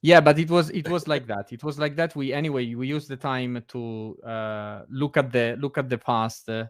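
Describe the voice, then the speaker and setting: Italian guy on a video call, smooth voice, lots of uhs and restarts, laughs a lot. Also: medium pitch, normal speed, dry recording, clean studio sound. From Italy. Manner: hesitant, many fillers and restarts; laughs often. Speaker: meetup guest. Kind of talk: casual chat.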